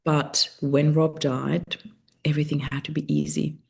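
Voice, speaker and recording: Flat-voiced woman host, German native speaker. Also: deep voice, unhurried, vocal fry, breathy edge. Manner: thoughtful, searching for words. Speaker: podcast host. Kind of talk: reflective conversational interview.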